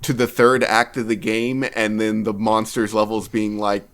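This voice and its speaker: A man's voice: lowish voice, flat delivery, moderate pace, fairly bright tone. A man co-hosting a podcast, chatting casually about games in a wry, self-mocking way. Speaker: podcast co-host. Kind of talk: casual anecdotal game talk.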